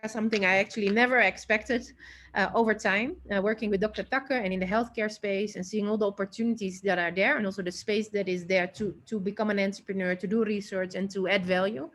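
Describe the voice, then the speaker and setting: A woman's voice: mid-range voice, steady tone, fast talker, fairly bright tone, faint music behind. A woman from the Netherlands introduces herself as a managing director in an informal online seminar, over internet call audio.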